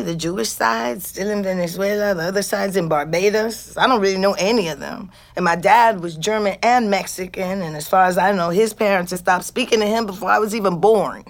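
An actress playing a weary, foul-mouthed middle-aged ex-convict, telling her story in a halting, blunt, confessional way. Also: medium pitch, vocal fry, no echo, lightly acted. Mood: bitter, rueful. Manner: halting, blunt, conversational, profane. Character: weary, foul-mouthed, wary woman ex-convict, a rootless New Yorker. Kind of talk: confessional fiction podcast narration and dialogue.

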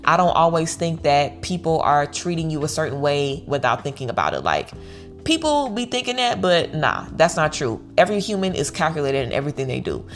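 A woman's voice: sits low, big pitch swings, a little fast, faint music behind. An American woman giving an informal motivational pep talk, speaking bluntly and emphatically, repeating phrases to drive them home. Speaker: online community host giving self-worth advice.

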